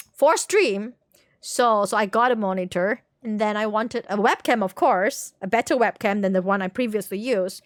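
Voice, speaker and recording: A woman with a fairly high voice chats casually on a livestream. She rambles, doubles back on her words and hunts for the right term, all in a dry recording with almost no room sound. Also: dry recording. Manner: rambling, repeats words, searches for terms. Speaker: game livestreamer. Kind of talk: casual livestream chat with viewers.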